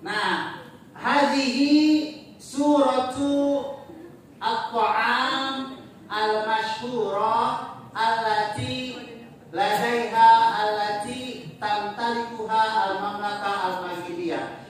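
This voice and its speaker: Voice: mid-range voice, fairly even intonation, very slow, pauses often, a bit bright. Lecturer in a very echoey hall. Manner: mixes in Arabic phrases and quizzes the audience; joking, chatty asides. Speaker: lecturer presenting slides. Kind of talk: casual classroom talk with slides. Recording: very echoey room, distant mic, faint noise.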